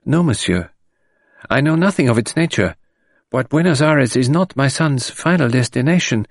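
A man's voice: medium pitch, expressive, normal speed, creaky, no echo, clean studio sound, pitched above the performer's own voice. A man voicing a dignified, grieving middle-aged French widow who speaks in a composed, formal, restrained way. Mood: earnest.

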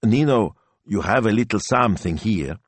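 A man puts on an Italian grandmother from a storybook, sharp and exasperated. She scolds in emphatic bursts, pitched up from the narration and swinging widely, in a dry studio sound. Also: expressive, normal speed, breathy edge, no echo. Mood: amused.

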